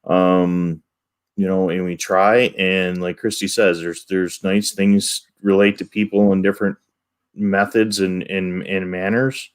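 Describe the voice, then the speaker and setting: A man co-hosting a live show, addressing viewers in halting, rambling talk full of stammered restarts. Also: deep voice, flat delivery, smooth. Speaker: co-host reading chat questions.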